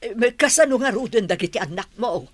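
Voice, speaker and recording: A woman's voice: on the high side, lively intonation, brisk, dry recording. A woman acting as an anxious, fretful mother in a radio-style drama, questioning with concern.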